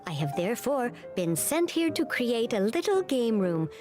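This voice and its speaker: An actress voicing a polite, scholarly young woman in a video game, speaking in formal, measured phrases. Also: a little high, very animated. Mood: polite, explanatory. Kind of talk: scripted video game dialogue. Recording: game audio with music underneath. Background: game music.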